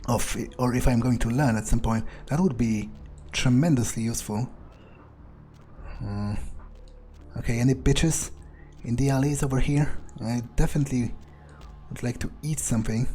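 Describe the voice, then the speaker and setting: A man's voice: mid-range voice, normal speed, mellow. A man doing in-character gameplay commentary, thinking aloud in a musing, muttering, crude way. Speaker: role-playing game streamer, speaking in character. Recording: faint noise and game ambience.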